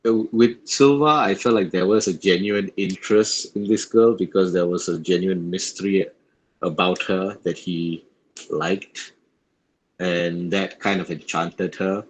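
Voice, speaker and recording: Very deep-voiced man reflecting in interview. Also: fairly even intonation, unhurried, slightly rough, rough recording. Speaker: voice actor being interviewed.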